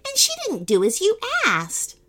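A woman's voice: on the high side, wildly swooping pitch, normal speed, a bit bright, breathy edge, pitched above the performer's own voice, full character voice. A woman voicing a small, eager, friendly gingerbread boy in a children's story. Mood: indignant.

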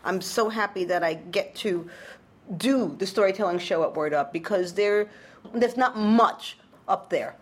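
A woman hosting a casual interview, enthusiastic and quick to exclaim. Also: medium pitch. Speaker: interview host.

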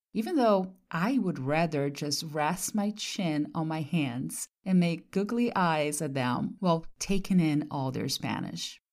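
A woman from Brazil, a native Portuguese speaker, hosts her own podcast and talks through her thoughts in a measured, reflective way. Her voice sits a little low for a woman. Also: darkish tone. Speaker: podcast host. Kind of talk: personal monologue.

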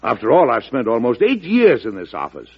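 An actor playing a resolute, dignified president in an old-time radio drama, speaking in a formal, declamatory and emphatic way. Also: male voice, medium pitch, wildly swooping pitch, brisk, husky, heavily acted. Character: resolute, dignified male president late in his second term. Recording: dull vintage radio recording.

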